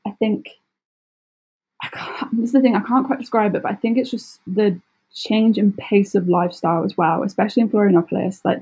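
A British woman speaking casually as an interview guest, chatty and expressive, often acting out little quotes. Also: mid-range voice, creaky, husky, highs cut off. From United Kingdom. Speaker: podcast guest, travel blogger.

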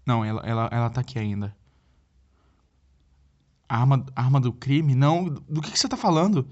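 A man's voice: mid-range voice, a little fast, darkish tone, dull audio, close to an everyday voice. An actor playing a needy, flustered young Brazilian boyfriend in a comedic audio drama, stammering and repeating himself as he pleads for help. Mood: distressed. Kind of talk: comedic audio drama, one side of a phone call.

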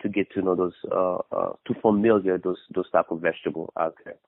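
Haitian guy with a lowish voice, trainer chatting in an interview, thin phone-line sound, pretty dry. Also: steady tone, brisk, no echo. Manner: repeats phrases, often tags with "you know". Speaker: personal trainer, interview guest. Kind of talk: casual interview, giving fitness advice. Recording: tinny phone-like radio line.

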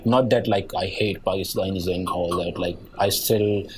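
A man's voice: low-pitched, steady tone, normal speed, slight background noise. A young man with a light Nigerian accent, chatting as a guest and rambling with lots of "like" and "yeah". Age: young adult. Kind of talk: casual conversation.

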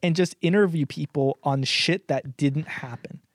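A young man from Canada co-hosting a casual podcast, his voice sitting slightly high. He talks loosely, swears freely and laughs often. The sound is dry and close, with no room in it. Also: normal speed, dry recording. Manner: laid-back, swears a lot, laughs often. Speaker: podcast co-host. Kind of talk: casual chat.

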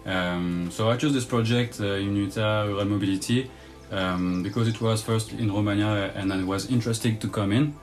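A young man from France with a French accent, a university student who introduces himself haltingly with frequent "um"s. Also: on the low side, fairly even intonation, soft background music. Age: young adult. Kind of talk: casual self-introduction.

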